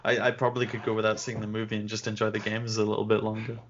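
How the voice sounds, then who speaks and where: A man's voice: lowish voice, monotone, rapid-fire, slight background noise. A young man co-hosting a podcast, chatting casually in a blunt, sardonic way. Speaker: podcast co-host. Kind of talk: casual chat. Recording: dull lo-fi sound.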